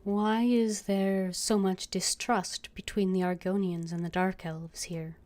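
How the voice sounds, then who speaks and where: A woman's voice: mid-range voice, level tone, a little slow, darkish tone. A woman streaming a game, reading dialogue aloud and commenting on it.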